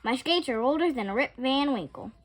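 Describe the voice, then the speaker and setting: A woman's voice: high-pitched, lively intonation, normal speed. A woman voicing a male big bad wolf from a storybook, forthright and friendly underneath.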